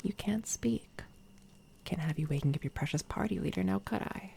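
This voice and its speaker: A woman voicing a smug, menacing villainess doppelganger in a soft-spoken, taunting ASMR roleplay, often slipping into a whisper. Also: on the low side, creaky, slightly rough, exaggerated voice. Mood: smug, mocking. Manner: taunting, condescending, unhurried. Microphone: close binaural microphone.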